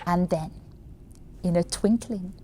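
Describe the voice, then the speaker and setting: A woman reciting a rhyming bedtime story in an expressive, pantomime style. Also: on the low side, slow pace. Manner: expressive, dramatic. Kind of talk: theatrical pantomime bedtime-story reading of verse.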